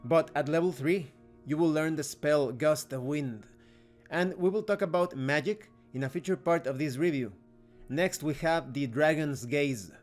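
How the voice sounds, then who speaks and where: Guy doing scripted review narration, voice a bit on the high side, faint music behind. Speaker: video host, game reviewer.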